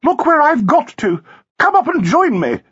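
A man narrating a story and voicing a sprightly, flamboyant society wife, shrill, affected and gushing. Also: huge pitch swings, takes it slowly, a bit bright, husky, dull audio, heavily acted.

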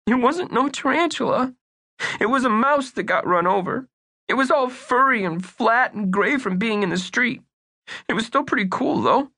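A woman's voice: lively intonation, sharp tone, full character voice. A woman voicing a worried, whiny young boy telling about his lost cookies. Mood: upset. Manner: high, pleading, rushed. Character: anxious young boy client, whiny and upset.

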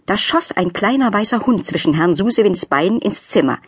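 A woman's voice: medium pitch, animated, brisk, husky, no room sound, clean studio sound. A woman reading a children's story as a scripted audiobook narration.

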